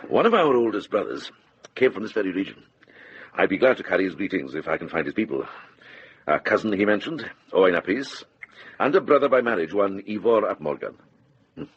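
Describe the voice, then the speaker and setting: An actor playing a calm, firm and kindly older monk who heals the sick, in a radio drama. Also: male voice, sits low, a little fast, pauses often, breathy edge, full character voice. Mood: courteous. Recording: dull, noisy radio drama recording.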